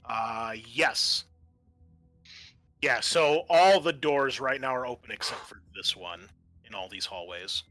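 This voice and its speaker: A man's voice: mid-range voice, slow pace, sharp tone, no room sound. A man narrating a tabletop roleplaying game as its game master, addressing the players.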